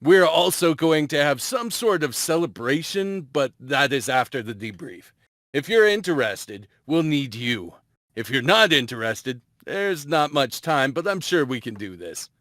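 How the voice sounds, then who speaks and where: A man's voice: fairly high pitch, big pitch swings, a little slow, fairly bright tone, no room sound. Man reading script lines, dry close sound. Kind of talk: amateur script table read, reading dramatic lines.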